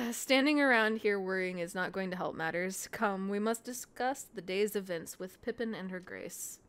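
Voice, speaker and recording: A woman's voice: mid-range voice, moderate pace, fairly bright tone, clean tone. A woman streaming a game, reading dialogue aloud theatrically and dropping in casual, playful asides. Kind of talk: let's-play commentary and dialogue read-aloud. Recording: game music behind the voice. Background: video game music.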